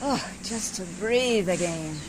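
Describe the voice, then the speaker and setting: A woman's voice: medium pitch, expressive, slow pace, background noise. A woman playing a determined, adoring lady adventurer in an old-time radio drama, speaking with proper, earnest diction. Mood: relieved, tender.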